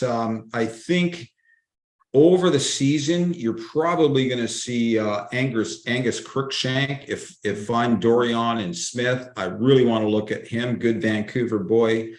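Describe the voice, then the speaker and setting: A man, a guest writer on a casual podcast roundtable, talking in a folksy way and reaching for old sayings. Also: medium pitch. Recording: roomy echo.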